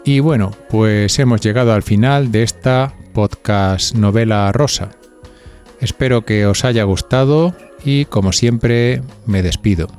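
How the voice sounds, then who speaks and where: A man's voice: on the low side, a little slow, music in the background. A man with an accent from Spain hosting a podcast, reading scripted narration and putting conversational questions to listeners. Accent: Spanish from Spain. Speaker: podcast host.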